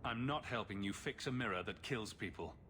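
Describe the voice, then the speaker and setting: A man's voice: fairly low pitch, fairly quick, bright, forceful tone, a little hiss or hum. An actor playing a wry, skeptical male adventurer in a fantasy video game, blunt and sardonic. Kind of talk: video game dialogue acting.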